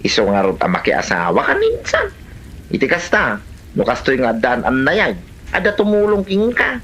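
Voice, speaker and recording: Casting: actor for nagging, plain-spoken Ilocano relative, highly animated, sharp-edged delivery. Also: normal speed, slightly rough, heavily acted. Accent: Filipino, Ilocano. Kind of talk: Ilocano comedy drama acting.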